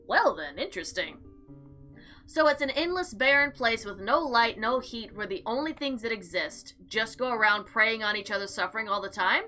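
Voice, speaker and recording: A woman's voice: on the high side, bright, forceful tone. A woman playing a visual novel, reading it aloud expressively and dramatically with casual commentary. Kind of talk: let's play narration with casual commentary. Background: faint background music.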